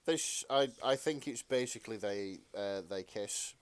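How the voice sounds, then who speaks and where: A man's voice: lowish voice, unhurried, clean tone, no room sound. A man co-hosting a podcast, chatting casually and reading fan fiction in a hesitant, bemused, sweary manner. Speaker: podcast co-host.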